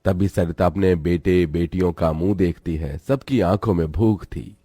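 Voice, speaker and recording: Guy narrating a childrens story, really deep warm voice, scripted read. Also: normal speed, slightly rough, dry recording, studio quality.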